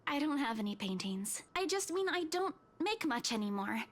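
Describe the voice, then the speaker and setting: A woman's voice: sits high, big pitch swings, normal speed. A woman acting a friendly, eager young estate agent in a video game, with a chatty, earnest manner. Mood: defensive. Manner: chatty, earnest, slightly defensive. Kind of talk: visual novel game voice acting.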